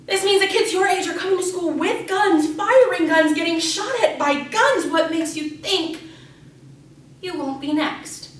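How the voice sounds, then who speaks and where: Intense, falsely sweet female grade-three teacher acted by a woman, stage monologue to children, dramatic pauses, heard from afar in a reverberant room. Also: sits high, pushed and bright, heavily acted. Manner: over-enunciated, addressing children, dramatic pauses. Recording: echoey room, distant mic, faint noise.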